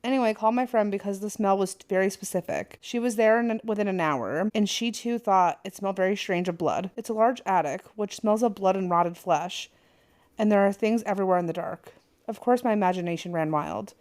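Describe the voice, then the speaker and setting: Woman podcaster reading a reddit story out loud, chatty, trips over words sometimes. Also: mid-range voice, fairly quick. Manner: reads aloud with asides and stumbles. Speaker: podcast co-host. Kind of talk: casual podcast chat, reading a forum post aloud.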